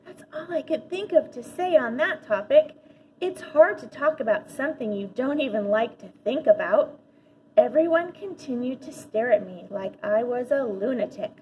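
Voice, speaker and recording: A woman reading a children's story aloud like a teacher, animated, with dramatic pauses and emphasis. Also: on the high side, slight background noise, low-quality recording. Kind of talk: expressive children's audiobook-style read-aloud.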